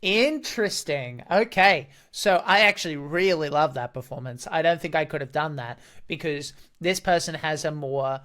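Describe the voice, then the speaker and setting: A man's voice: on the high side, normal speed, few pauses, a bit bright. A man who works as a voice actor and dungeon master, explaining his approach to an audition in an analytical, think-aloud manner. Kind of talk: explanatory commentary on a voice acting audition.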